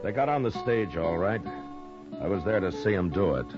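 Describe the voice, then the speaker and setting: An American man playing a steady, tough frontier marshal in a 1950s radio western, terse, commanding and understated. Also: low-pitched, moderate pace. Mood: grave narration. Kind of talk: 1950s radio western acting, with first-person narration. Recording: dull vintage radio recording with music.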